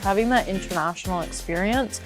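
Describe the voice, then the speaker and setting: A woman from Canada, an international student, giving a steady, upbeat testimonial for a promo video. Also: mid-range voice, fairly quick, faint music behind, low-quality recording.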